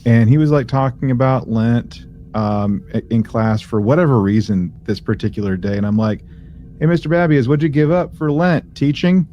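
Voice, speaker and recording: A man with a medium-pitched voice chats casually as a podcast co-host. He talks in a slangy, meandering, jokey way, like someone telling stories to a friend. The audio is a bit dull and lo-fi. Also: moderate pace, mellow, soft background music. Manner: slangy, rambling, joking. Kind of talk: casual chat and anecdotes between friends.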